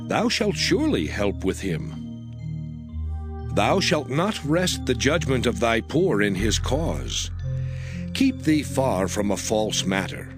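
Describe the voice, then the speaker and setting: A man voicing God as a solemn, commanding lawgiver in a dramatized scripture reading, with declamatory, archaic diction. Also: mid-range voice. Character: God, solemn commanding male deity laying down law. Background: background music.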